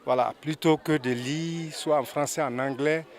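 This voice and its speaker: A man's voice: a little high, fairly even intonation, moderate pace. A man interviewed at an event, speaking hesitantly as he searches for words. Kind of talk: news vox pop.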